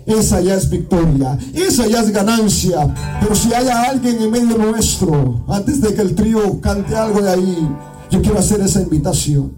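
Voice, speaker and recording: A man from Guatemala with a Guatemalan accent preaching a fervent evangelical sermon in church, often shouting and half-singing his phrases. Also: high voice, lively intonation, normal speed. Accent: Guatemalan Spanish. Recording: distant mic with music behind.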